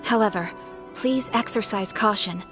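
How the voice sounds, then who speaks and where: A woman's voice: mid-range voice, music in the background, lightly acted. A woman playing a poised, courteous young guild receptionist in a video game, speaking formally and precisely. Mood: courteous, earnest. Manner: formal, polite, measured, precise. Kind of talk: video game quest-giver dialogue.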